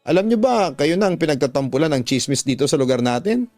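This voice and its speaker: A man's voice: on the high side, big pitch swings, quick pace, darkish tone, no echo, soft background music. A man voicing an older gossipy neighbor woman, motherly, concerned and coaxing as she gives advice in a radio drama. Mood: hesitant, concerned. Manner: coaxing, advising.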